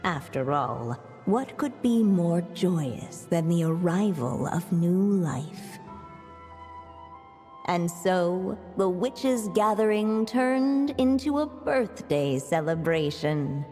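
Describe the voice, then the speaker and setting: An actress playing a wry storybook narrator in a game, measured and theatrical, with a gently teasing manner. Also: female voice, fairly low pitch, animated. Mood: storytelling. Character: wry, unhurried storybook narrator, adult woman. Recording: long reverb, music behind.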